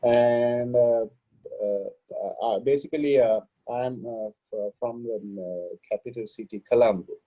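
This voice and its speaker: A man's voice: medium pitch, unhurried. A man from Sri Lanka, a podcast guest on a video call, speaking hesitantly with many fillers. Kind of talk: casual video-call interview. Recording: dull lo-fi sound.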